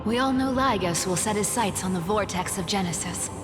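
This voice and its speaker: A woman's voice: medium pitch, brisk, lightly acted. A woman playing a wry, blunt, guarded young woman in a video game, with a drawling skeptical manner. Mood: wry, resigned. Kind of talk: dramatic video game voice acting. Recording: echoey long reverb under music.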